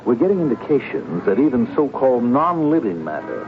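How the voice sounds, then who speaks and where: An actor playing an earnest, frightened secret agent in a radio drama, speaking in a measured, hesitant way. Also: male voice, mid-range voice, big pitch swings, over music. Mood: earnest, searching. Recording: noisy, muffled old radio broadcast.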